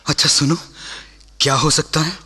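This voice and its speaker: An actor playing a proud, loyal Sikh army officer in a Hindi radio play, declaiming with passion. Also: male voice, fairly high pitch, steady tone, moderate pace, bright, forceful tone, slightly rough, in a large hall, heavily acted. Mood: sardonic. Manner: impassioned, declamatory, rhetorical questions.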